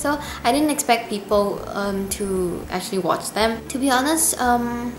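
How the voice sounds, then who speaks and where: A woman's voice: fairly high pitch, normal speed, over music. A woman in her early twenties from Indonesia, chatting earnestly and gratefully in a casual question-and-answer vlog. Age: 20s. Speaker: YouTube cover singer. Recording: echoey room with noise.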